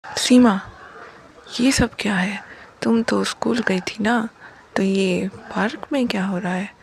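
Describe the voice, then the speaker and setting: A woman's voice: medium pitch, slow, vocal fry, slight background noise. A woman voicing an exasperated, scolding village mother in a children's moral story cartoon.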